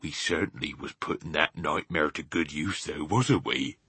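A man voicing a gentle, friendly giant in a children's storybook, confiding in a folksy, ungrammatical way. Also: low-pitched, very animated, moderate pace, breathy edge, dry recording, heavily acted. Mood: pleased, knowing. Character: big friendly old-fashioned giant, male, gentle, mangles grammar. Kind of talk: dramatised children's storybook reading.

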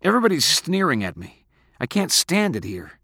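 Audiobook role: bitter, petulant teen boy acted by a man, raised pitch, forceful, dry close recording. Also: wildly swooping pitch, normal speed, husky, dry recording, heavily acted. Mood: frustrated. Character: bitter, stubborn, sulky teenage boy. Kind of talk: audiobook character acting.

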